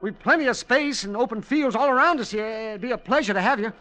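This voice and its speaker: An actor playing a middle-aged, henpecked schoolteacher and amateur scientist, nervous and eager, in an old radio drama. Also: male voice, very high voice, fast, sharp tone. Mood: eager, deferential. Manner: flustered, stammering, deferential. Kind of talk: 1940s-50s radio drama acting. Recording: noisy, crackly vintage radio recording.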